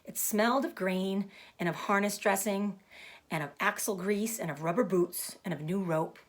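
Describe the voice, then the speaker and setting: A woman reading a children's book aloud as a storyteller, with deliberate phrasing meant for young listeners. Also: mid-range voice. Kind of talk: expressive children's book narration.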